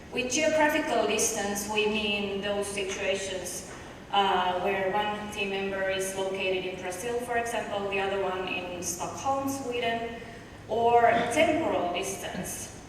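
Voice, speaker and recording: Finnish-accented woman presenting in echoey hall. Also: medium pitch. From Finland. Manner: measured, explanatory, poses rhetorical questions. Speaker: conference presenter. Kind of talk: academic paper presentation. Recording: echoey distant hall recording with noise.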